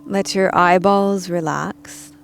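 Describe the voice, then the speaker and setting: A woman leading a guided meditation as a teacher, speaking calmly and gently in measured instructions with pauses. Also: lowish voice, creaky, faint music behind.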